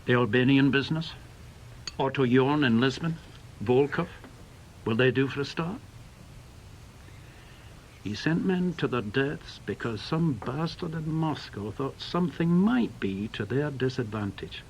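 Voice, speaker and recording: A man's voice: mid-range voice, lively intonation, a little slow, long pauses, slight background noise, poor audio. An actor playing a bitter, blunt veteran British intelligence man in a radio drama, with an emphatic, scornful manner.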